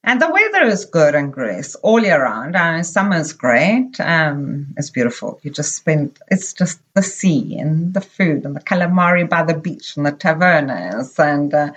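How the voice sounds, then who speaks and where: A middle-aged woman from Zimbabwe, a podcast guest recalling her life abroad in an unhurried, meandering way with many pauses and fillers. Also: low voice, sing-song, a bit bright, breathy edge. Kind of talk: casual interview conversation.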